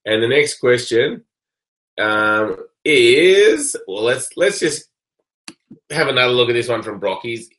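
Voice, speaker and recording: Raspy man reading viewer questions. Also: mid-range voice, lively intonation, measured pace, a bit bright. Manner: easygoing, joking. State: raspy voice from a cold. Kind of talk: casual chat.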